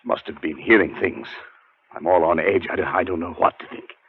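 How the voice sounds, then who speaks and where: An actor playing a frightened scholar in a 1940s radio suspense drama, narrating his terror in a dramatic, deliberate way that grows halting with nerves. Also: sits low, a little hiss or hum, close to an everyday voice. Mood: anxious, rattled. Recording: muffled 1940s radio broadcast with music.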